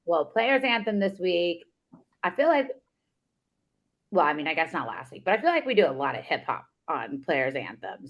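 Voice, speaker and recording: A woman co-hosting a casual sports podcast, upbeat and giving shout-outs. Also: lowish voice. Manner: upbeat, gives shout-outs and sign-offs. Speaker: podcast co-host. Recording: dull lo-fi sound.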